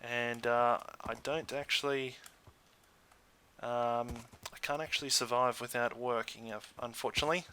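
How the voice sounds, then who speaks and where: A man's voice: medium pitch, steady tone, normal speed, pushed and bright, a little hiss or hum. A man hosting a gaming video with casual commentary, hesitant and thinking aloud as he goes.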